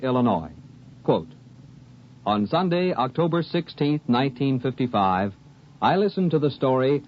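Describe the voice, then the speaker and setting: A man reading scripted announcements as a formal, measured 1950s radio announcer. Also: mid-range voice, slight background noise. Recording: muffled 1950s radio recording.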